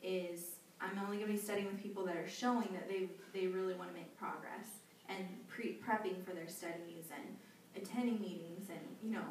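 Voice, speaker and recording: A young woman answering interview questions in a chatty, animated way, laughing often and leaning on casual fillers. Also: lowish voice, fairly quick, few pauses. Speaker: interviewee, volunteer back from abroad. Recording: echoey hall, distant mic, faint noise.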